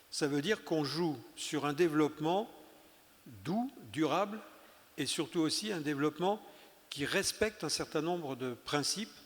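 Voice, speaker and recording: Emphatic man speaking in a hall. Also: a little high. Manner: emphatic, frequent restarts and hesitations. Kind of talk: round-table speech. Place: conference hall. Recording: long hall reverb.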